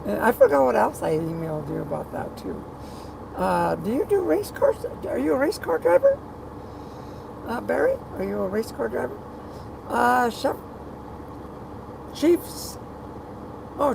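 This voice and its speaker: A live streamer with a rough, gravelly voice, chatting casually and rambling while reading viewers' comments aloud and repeating words. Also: medium pitch, noisy background.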